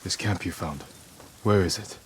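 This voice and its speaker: An actor playing a grim, resolute samurai warrior in a restrained video game drama. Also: male voice, low-pitched, normal speed, slight background noise, natural voice. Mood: probing, stern.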